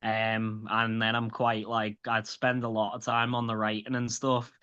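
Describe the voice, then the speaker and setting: A man's voice: on the low side, completely flat delivery, a little slow, bright, forceful tone, clear, resonant tone, dull audio. A man in his late twenties with a light Scouse accent, a stand-up comedian chatting as a guest, swearing and restarting often. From grew up in Liverpool. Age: late 20s. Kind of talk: casual interview chat.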